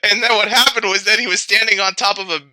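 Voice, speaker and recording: A man telling an improvised, rambling children's-style story in character, repetitive and excitable. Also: high-pitched, quick pace, no room sound, poor audio. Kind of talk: improvised spoken storytelling in character as a magical bear.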